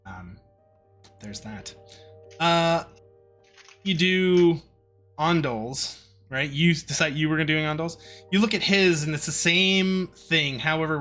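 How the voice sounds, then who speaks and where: A man with a bright, forward voice runs a tabletop roleplaying game as its game master. He describes things in a conversational, improvised way. Music plays softly behind him. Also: a little high. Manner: descriptive, conversational, explains with casual comparisons.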